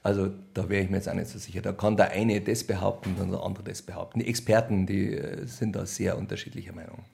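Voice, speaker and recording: A man's voice: sits low, flat delivery, quick pace, creaky, a little mellow, slight background noise. A man with a Bavarian accent answering interview questions in a wry, colloquial, conversational way. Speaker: radio play author and director being interviewed.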